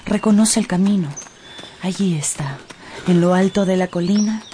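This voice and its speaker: A woman's voice: fairly low pitch, moderate pace, dark tone, slight background noise. A woman narrating a radio drama from a script in a theatrical, emotive storytelling manner.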